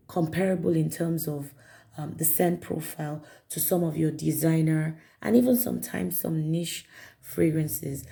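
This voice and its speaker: A woman reviewing perfumes in a chatty vlog, her voice raspy and hoarse from a cold. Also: deep voice, dark tone. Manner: chatty, repeats herself, many fillers. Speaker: perfume vlogger. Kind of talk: casual product review to camera.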